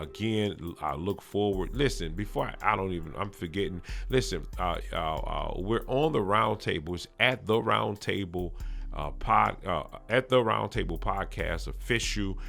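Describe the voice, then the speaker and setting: A man hosting a podcast, talking casually and encouragingly to his viewers in a halting, repetitive way. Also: sits low, creaky, a bit bright. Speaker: podcast host. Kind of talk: casual promotional talk to viewers.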